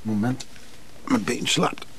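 A man's voice: mid-range voice, big pitch swings, takes it slowly, creaky, fairly bright tone, slight background noise, exaggerated voice. An actor playing a groggy, confused man muttering short phrases in a radio drama. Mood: dazed. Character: groggy, confused man struggling to get comfortable. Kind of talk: Dutch radio drama acting.

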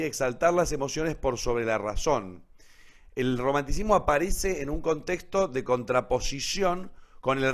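A man with an Argentine accent presents a literature segment in an informal lecturing style. He is didactic, stressing and repeating the important points. He often checks in with short tag questions as he explains. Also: mid-range voice. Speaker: literature presenter on a radio theatre programme.

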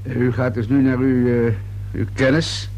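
An actor in a radio play playing a persistent police inspector, probing and unruffled, with a dry sardonic edge. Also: male voice, mid-range voice, flat delivery, moderate pace, a little mellow, close to an everyday voice, low-quality recording. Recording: radio play recording with hiss and hum.

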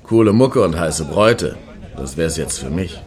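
A man's voice: low voice, lively intonation, normal speed, a little mellow. An actor playing a brash, eager male clubgoer in a comic radio play, cocky and slangy. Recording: crowd noise behind the voice.

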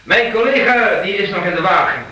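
An actor playing a good-natured village police sergeant, courteous and hesitant, in a radio drama. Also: male voice, sits high, animated, brisk, sharp tone. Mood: cheerful, official. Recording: roomy, echoing radio play studio.

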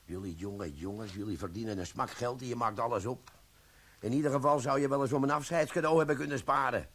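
An actor playing a sly, glib army quartermaster sergeant in a radio play, talking with cheeky, wheedling banter. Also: male voice, mid-range voice, brisk, fairly bright tone.

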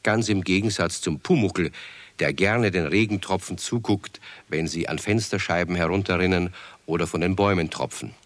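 A man narrating a scripted children's story as an expressive storyteller. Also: sits low, moderate pace, no room sound.

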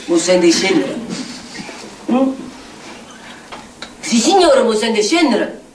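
Eccentric mocking outcast, Neapolitan accent, stage monologue, distant mic, background noise. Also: female voice, mid-range voice, very animated, very slow, echoey room. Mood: aggrieved. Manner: rambling, muttering, sardonic, swinging into chant. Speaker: stage actor. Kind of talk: theatrical monologue in dialect.

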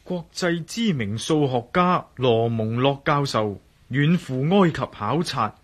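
An actor playing a shrewd, composed adventurer in a Cantonese radio drama, measured, wry and self-assured, with a Hong Kong accent. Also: male voice, mid-range voice, animated. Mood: pondering. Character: shrewd, composed male adventurer and amateur sleuth.

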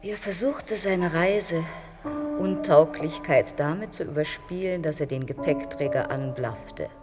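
An actress playing a wistful woman who recalls her past in a reflective, halting radio drama monologue. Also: sits low, lively intonation. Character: wistful woman recalling family summers and deportation. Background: music.

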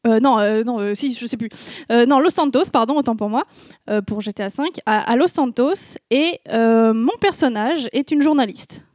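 A woman's voice: fairly high pitch, moderate pace, a little mellow, no echo, studio quality. A young woman on a panel, talking in a frank and casual way about her gaming. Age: young adult. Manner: frank, casual, laughs at herself. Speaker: panel guest, gamer.

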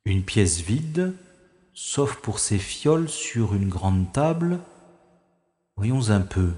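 A slow, wry secret agent. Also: male voice, lowish voice, big pitch swings, mellow, full character voice. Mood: wary. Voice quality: dry, ironic inner monologue. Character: wry male secret agent sneaking through a manor. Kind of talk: audio drama first-person narration. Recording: echoey room with long reverb.

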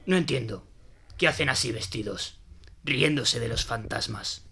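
An actor playing a haunted sea captain who tells his ghost story in a brooding, deliberate, suspenseful way for an audio drama. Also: mid-range voice, creaky, sharp tone, slight background noise. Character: haunted old sea captain recounting a ghost ship tale. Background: prominent music.

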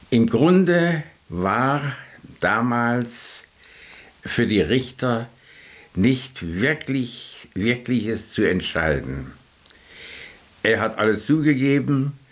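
Man in late eighties, retired judge, lower voice, halting interview recollection, question tags. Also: sing-song.

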